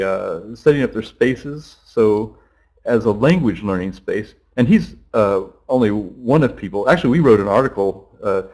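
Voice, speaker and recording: A man's voice: lowish voice, darkish tone. A middle-aged man, a teacher being interviewed, explaining in a loose conversational way with frequent fillers and restarts. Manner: rambling, thinking aloud with many restarts and fillers.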